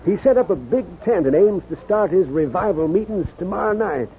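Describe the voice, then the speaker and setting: An actor playing a chatty, folksy cafe swamper in an American 1950s radio western, with a garrulous rustic manner. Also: male voice, high voice, lively intonation, a little fast, husky, full character voice. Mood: gossipy, amused. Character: chatty, folksy old-West cafe swamper, gossipy man. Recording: muffled, noisy 1950s radio recording.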